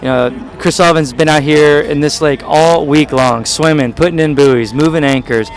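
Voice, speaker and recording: A man's voice: fairly high pitch, normal speed, rough recording. A man commentating live at an outdoor water-ski event, talking up the organizer with enthusiasm.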